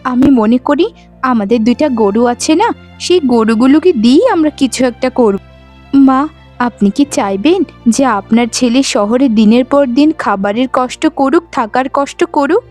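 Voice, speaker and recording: A woman's voice: sits high, expressive, normal speed, a little mellow, faint music behind. A woman voicing an earnest, hardworking young village wife in a cartoon, persuading politely and reassuringly. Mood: earnest, hopeful. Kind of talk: Bengali moral-story cartoon voice acting.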